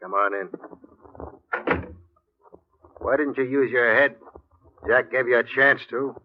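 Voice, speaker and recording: A man's voice: mid-range voice, normal speed, natural voice. An actor playing a cool, threatening gangster henchman with a terse, blunt delivery in an old radio drama. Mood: flat, curt. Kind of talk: 1940s-50s radio acting. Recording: muffled, hissy old radio recording.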